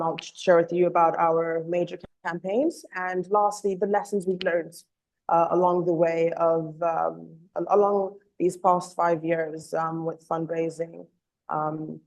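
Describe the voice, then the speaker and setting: A woman giving an earnest online presentation as a communications officer, slightly hoarse from a cold, with frequent ums and uhs. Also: fairly low pitch, darkish tone, rough recording. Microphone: video call.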